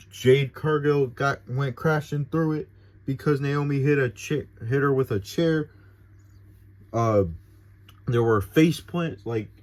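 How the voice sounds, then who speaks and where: A man's voice: medium pitch, no room sound. A young man recapping a wrestling event in a casual, rambling way, full of fillers and restarts. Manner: excitable, rambling, filler-heavy. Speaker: wrestling show reviewer.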